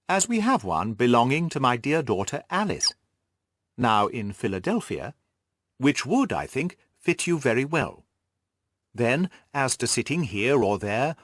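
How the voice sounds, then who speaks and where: A man voicing a polite, persuasive country gentleman's letter in a formal, courteous manner for an audiobook. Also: mid-range voice, no room sound, clean studio sound, natural voice.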